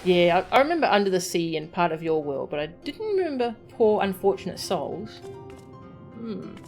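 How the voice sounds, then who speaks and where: A woman's voice: fairly low pitch, very animated, normal speed, a little mellow, soft background music. A woman streamer chatting casually between game dialogue, with stuttering asides and trailing murmurs into a desk mic.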